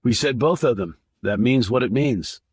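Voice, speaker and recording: A man voicing a calm male investigator who speaks in a steady, reassuring way. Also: medium pitch, moderate pace, husky, no echo, clean studio sound, natural voice. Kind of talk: audiobook narration.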